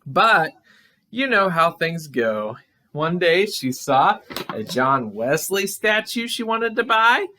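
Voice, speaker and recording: A woman narrating a puppet show story in an animated, children's storytelling style. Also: on the low side, slow pace, slight background noise.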